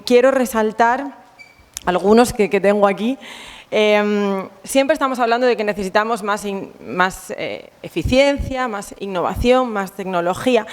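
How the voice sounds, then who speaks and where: Woman, Spain Spanish accent, invited speaker at a conference; smooth, resonant voice, chatty and informal, reverberant hall. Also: medium pitch. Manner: friendly, chatty, many fillers and restarts. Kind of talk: conference talk.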